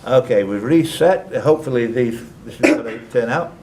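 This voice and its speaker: A man's voice: medium pitch, lively intonation, moderate pace, a little mellow, a little hiss or hum. A man hosting a live quiz, reading out questions and tossing in chatty, off-the-cuff asides. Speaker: quizmaster. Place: live quiz event at a social club.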